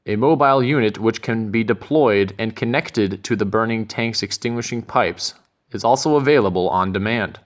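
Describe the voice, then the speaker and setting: A man reading scripted narration for a corporate training film in a measured, methodical way. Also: fairly low pitch.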